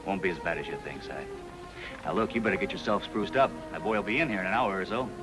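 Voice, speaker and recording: An actor playing a steady, protective deputy in a TV Western, brisk and plain-spoken with an American accent. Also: male voice, low voice, loud music behind the voice, natural voice, rough recording. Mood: reassuring. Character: steady, protective male deputy in the Old West. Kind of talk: 1950s TV Western acting.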